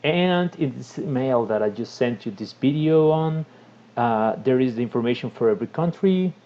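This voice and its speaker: Mellow-voiced man, halting tutorial talk. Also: mid-range voice, animated, muffled recording. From Costa Rica. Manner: halting, frequent restarts and filler sounds. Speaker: tutorial presenter. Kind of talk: casual screen-recorded tutorial.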